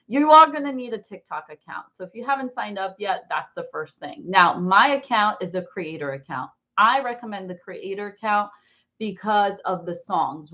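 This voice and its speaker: A woman in her forties with an American accent, teaching an informal online class in a chatty, rambling, expressive way. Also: mid-range voice, muffled recording. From born in Brazil, raised in New Jersey, US. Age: 40s. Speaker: online course instructor.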